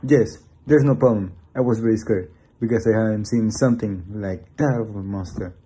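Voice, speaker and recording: A man's voice: on the low side, moderate pace, mellow, slight background noise, natural voice. A man acting an earnest, remorseful monster hunter in an amateur radio drama. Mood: shaken, remorseful.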